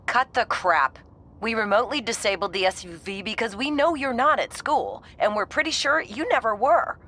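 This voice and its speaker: A woman's voice: a little high, lively intonation, moderate pace, bright, forceful tone. An actress playing a stern middle-aged mother in a video game, blunt and scolding. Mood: accusing.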